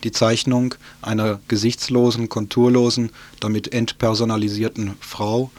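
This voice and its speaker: A man narrates a scripted radio report as the presenter. His voice sits fairly low. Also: level tone, moderate pace, darkish tone.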